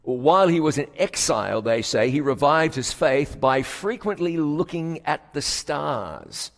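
A man preaching a sermon as pastor, stretching key words for emphasis and dropping to a hushed tone at solemn moments. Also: a little high, big pitch swings, a little slow, gravelly. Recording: long hall reverb.

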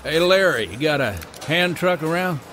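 A man's voice: a little high, animated, normal speed, a bit bright, faint music behind. A man playing a teasing, folksy truck driver in a radio drama. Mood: easygoing.

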